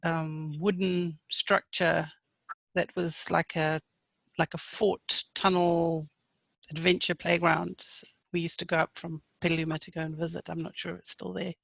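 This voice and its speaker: A middle-aged woman speaks casually in a meeting. Her voice is bright and edgy with heavy vocal fry, recorded close and dry. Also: lowish voice, flat delivery, normal speed, no echo, poor audio. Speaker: meeting participant. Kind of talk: casual reminiscing.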